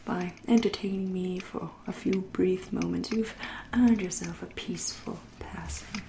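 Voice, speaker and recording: A woman playing a centuries-old vampire in an ASMR roleplay, with an English accent, a soft breathy half-whisper and a genteel, teasing, politely menacing manner. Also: fairly low pitch, steady tone, noisy background. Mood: polite, intrigued. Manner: slow, deliberate, politely menacing.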